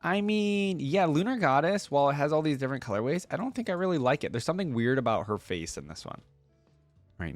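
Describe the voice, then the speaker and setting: A man's voice: mid-range voice, no echo. A man hosting a reaction video, giving informal, enthusiastic commentary and thinking aloud as he reads and looks things over. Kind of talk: casual reaction commentary with some reading aloud.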